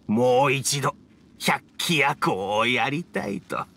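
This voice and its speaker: A man's voice: mid-range voice, expressive, slow pace, gravelly, fairly bright tone, heavily acted. A man voicing a jovial, theatrical old yokai spirit in an anime game, with quaint, hearty old-man speech. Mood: wistful. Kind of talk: anime video game voice acting.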